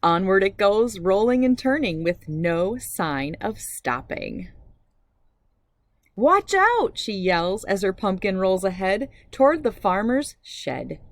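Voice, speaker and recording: Very animated woman reading a children's storybook. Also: medium pitch, measured pace, hardly any pauses, no echo. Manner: addresses young listeners directly, expressive. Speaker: children's read-aloud host.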